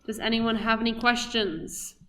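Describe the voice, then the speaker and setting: A woman's voice: on the high side, fairly even intonation, normal speed, sharp tone, far from the mic, a little hiss or hum. A woman playing a hard, commanding military general in a radio play, authoritative and unyielding. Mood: brisk.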